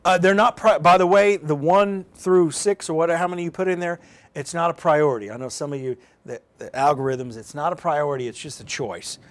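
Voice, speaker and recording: A man's voice: on the high side, brisk. A middle-aged man hosting a live weather explainer as a TV meteorologist, talking casually and thinking aloud with restarts. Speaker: TV meteorologist, host. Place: indoor TV news studio. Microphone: lapel mic.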